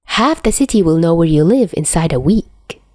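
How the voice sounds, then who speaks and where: A woman's voice: fairly low pitch, lively intonation, moderate pace, mellow, dry recording, clean studio sound. A woman voicing an airy, playful, theatrical young man with a sing-song, whiny way of talking in an audiobook story. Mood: airy, admitting.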